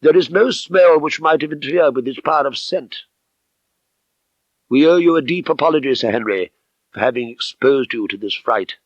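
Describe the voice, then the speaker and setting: A man voicing a keen, masterful male detective with a crisp, formal, authoritative manner in an audiobook story. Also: mid-range voice, moderate pace, no room sound, dull audio, lightly acted. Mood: grave, composed.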